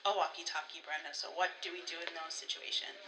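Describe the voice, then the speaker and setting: A woman's voice: lowish voice, steady tone, quick pace, pushed and bright, rough recording. A woman co-hosting a conversational staff training talk, explaining procedures step by step with examples. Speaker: co-host, school staff trainer.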